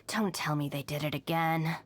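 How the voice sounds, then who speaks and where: A woman's voice: deep voice, husky, heavily acted. An actress voicing a capable, no-nonsense young female gang deputy in a video game, matter-of-fact and dryly confident. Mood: businesslike, composed. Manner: matter-of-fact, composed, brisk. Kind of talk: video game character voice lines.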